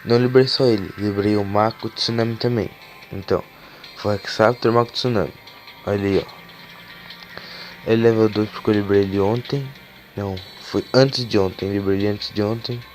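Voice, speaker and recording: A man with a cold and a hoarse voice, talking casually as he opens card packs for a gaming video, cursing and getting excited over the cards. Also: fairly low pitch, fairly quick. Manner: casual and swearing, excitable when cards are revealed. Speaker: gaming YouTuber. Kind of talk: casual gameplay commentary. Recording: game music playing behind the voice.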